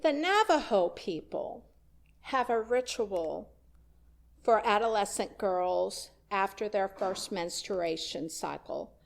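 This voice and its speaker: A woman minister delivering a sermon, slow and deliberate, with emphatic rising questions. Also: fairly high pitch, gravelly.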